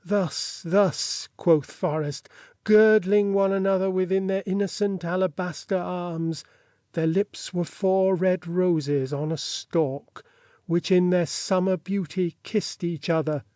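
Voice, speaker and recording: A man reading an audiobook in a measured, formal and theatrical way, as a scripted literary narration. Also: high-pitched, steady tone, mellow, no room sound, studio quality.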